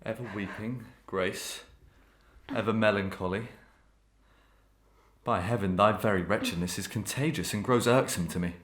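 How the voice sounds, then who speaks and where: A man playing a cold, taunting murderous husband in a Victorian melodrama, speaking in measured, formal, archaic phrases. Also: on the low side, fairly bright tone, breathy edge. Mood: irritated taunting. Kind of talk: Victorian melodrama audio acting.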